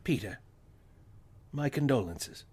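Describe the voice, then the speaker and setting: A man's voice: mid-range voice, expressive, slow pace, darkish tone, slightly rough, full character voice. A man playing a grave, learned professor who speaks precisely and with authority in a radio-play reading. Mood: measured, probing.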